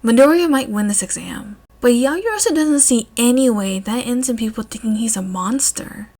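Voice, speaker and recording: A woman's voice: on the high side, expressive, normal speed, darkish tone. A woman reading scripted fiction aloud as an audiobook-style narrator. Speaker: podfic narrator.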